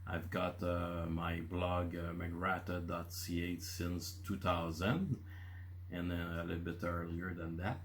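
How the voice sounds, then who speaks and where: A man's voice: sits low, level tone, takes it slowly, a little hiss or hum. A man in his fifties from Quebec City, a native French speaker with a Quebecois accent, hosting his channel and talking casually from bullet points with many filler uhs. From Quebec City, Canada. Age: 50s. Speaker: channel host.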